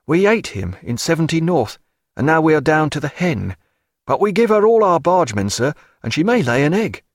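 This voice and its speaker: A man's voice: a little high, very animated, moderate pace, no room sound, studio quality, pitched above the performer's own voice. A man voicing an eager, respectful teenage midshipman, earnest and deferential, in an audiobook.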